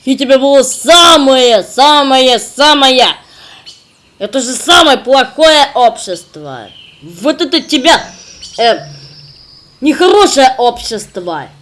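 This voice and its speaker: A woman's voice: high-pitched, animated, slow pace, a bit bright, exaggerated voice. A woman voicing a fussy cartoon character who preaches good manners in an emphatic, admonishing way. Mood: indignant, scolding. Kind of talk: children's cartoon acting.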